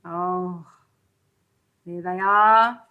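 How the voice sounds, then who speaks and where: A woman reading a children's storybook aloud like a pre-school teacher, expressive and sing-song, pausing to put questions to young listeners. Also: fairly low pitch, narrow, tinny audio, a little hiss or hum.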